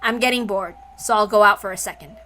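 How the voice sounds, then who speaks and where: A woman's voice: mid-range voice, steady tone, fast talker, bright, forceful tone, slight background noise, pitched below the performer's own voice, natural voice. A woman voicing a haughty young woman who speaks in a curt, dismissive, displeased way. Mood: bored, displeased.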